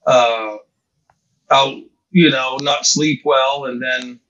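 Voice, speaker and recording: A man's voice: mid-range voice, steady tone, takes it slowly, fairly bright tone, highs cut off. An older American man, a pastor and guest on a podcast, talking casually with wry, self-deprecating humor. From United States. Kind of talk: casual interview chat.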